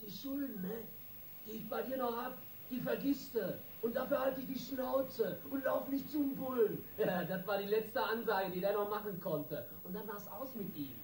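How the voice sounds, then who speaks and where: An actor playing a hot-tempered small-time gang boss in a radio crime drama, coarse and threatening in street slang. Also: male voice, high-pitched, normal speed, darkish tone, natural voice. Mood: boastful, menacing. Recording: roomy, distant, noisy radio drama.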